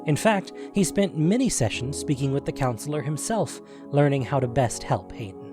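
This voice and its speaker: A man narrates an audio drama as a storyteller. His medium-pitched voice is expressive and measured, with faint music underneath.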